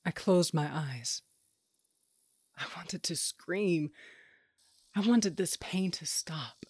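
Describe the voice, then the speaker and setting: An actress playing a hardened, self-loathing monster hunter, narrating an audio drama in an intense, profane, confessional way. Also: female voice, on the low side, slow pace, darkish tone, breathy edge, no room sound. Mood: anguished. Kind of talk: first-person audio drama narration with acted dialogue. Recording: music bed behind the voice.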